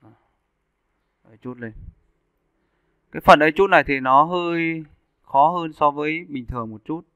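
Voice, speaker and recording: A man giving a casual, step-by-step software tutorial as an instructor, speaking informally into a close mic. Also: fairly high pitch, normal speed, clean tone, no echo, muffled recording. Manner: informal, instructing step by step with short check-in questions. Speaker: 3D animation instructor.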